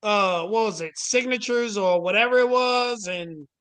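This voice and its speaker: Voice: medium pitch, a little slow, fairly bright tone, clear, resonant tone. A panel host speaking casually and emphatically, with plenty of profanity and street slang. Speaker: host moderating a panel call.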